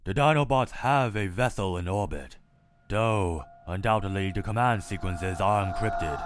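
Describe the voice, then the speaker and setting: A man voicing a cold, logical male robot scientist who narrates in a clinical, measured, detached way for a comic dub. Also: sits low, heavy background noise. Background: loud music.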